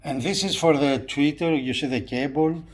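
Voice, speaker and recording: A man presenting a hi-fi review in a casual hands-on style, talking haltingly and repeating phrases as he points things out. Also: a little high, steady tone. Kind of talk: casual hands-on video commentary.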